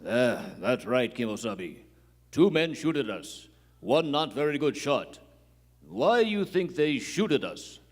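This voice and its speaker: An actor playing a stoic, loyal tracker sidekick in a live Western radio play, speaking terse, broken English with grunted hums. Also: male voice, medium pitch, very animated, measured pace, husky. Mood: watchful. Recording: echoey theatre hall.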